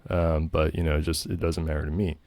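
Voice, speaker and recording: A man's voice: extremely low pitch, little pitch movement, fairly quick, a little mellow, dry recording. A man in a comedic monologue, smug and pompous, talking himself up at length and wandering through his sentences with constant ums and restarts. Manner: smug, pompous and self-aggrandizing; meandering, full of ums and restarts. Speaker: comedy channel host.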